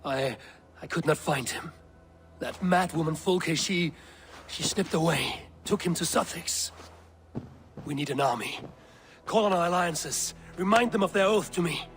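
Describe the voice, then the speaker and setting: An actor playing a stern, burdened Viking clan leader in a video game, terse and commanding. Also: male voice, fairly high pitch, a little slow, long pauses, a bit bright, slight background noise. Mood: grave, urgent. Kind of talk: dramatic video game acting.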